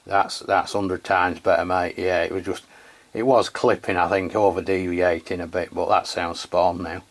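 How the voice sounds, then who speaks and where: Low-pitched Englishman, casual radio chat. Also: flat delivery, normal speed. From England. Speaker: radio review channel host.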